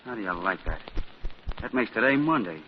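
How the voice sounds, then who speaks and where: An actor playing a dazed, tough older man with rough, slangy street talk in a 1940s radio drama, on a muffled old broadcast recording. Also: on the low side, expressive, brisk, a little hiss or hum, natural voice. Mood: scoffing.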